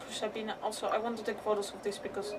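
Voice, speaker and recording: A woman casually commentating on a live game playthrough, thinking aloud in an informal, expressive way. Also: mid-range voice, very fast, noisy background. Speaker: game streamer. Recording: distant-sounding mic with game music behind. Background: game music.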